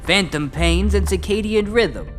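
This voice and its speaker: Male actor for disgruntled tinkerer, dry and brusque, a little high-pitched, audio drama. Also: measured pace, faint music behind. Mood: grumpy, brusque. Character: grumpy male inventor, brusque, secretly kind. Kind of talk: fan audio drama acting.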